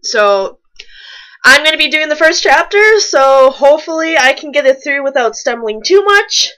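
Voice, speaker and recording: A woman's voice: high-pitched, big pitch swings, moderate pace, bright, forceful tone, rough recording. A woman co-hosting a comedic fan fiction reading, reading aloud and breaking off for casual, mock-exasperated commentary.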